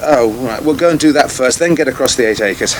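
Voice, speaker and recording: An actor playing a brisk, offhand young English farmer in a naturalistic British radio soap. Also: male voice, medium pitch, natural voice, poor audio. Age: young adult. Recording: 1980s radio drama, faint background noise.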